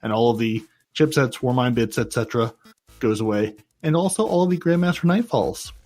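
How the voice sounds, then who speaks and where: A man's voice: mid-range voice, expressive, fairly quick, a little mellow, faint music behind. A man co-hosting a casual gaming podcast, chatty and self-mocking, often repeating his words as he reads out news. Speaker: podcast co-host.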